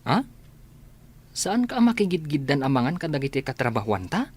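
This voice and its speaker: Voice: medium pitch, big pitch swings, normal speed, darkish tone, husky. A performer acting a shy, meek, hardworking grown son in a radio comedy drama. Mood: bashful, flustered.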